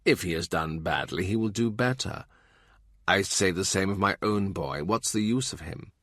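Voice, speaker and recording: A man reading an audiobook voices a cold, ironic statesman who speaks in a soft, low, amused murmur. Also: moderate pace, no echo, clean studio sound. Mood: scornful. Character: cold, ironic older male statesman, quietly scornful.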